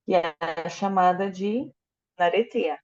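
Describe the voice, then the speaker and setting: A woman's voice: lowish voice, slow pace, dull audio. A woman interpreting a lecture into Portuguese, often hesitating and correcting herself as she goes. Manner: hesitant, with fillers and self-corrections.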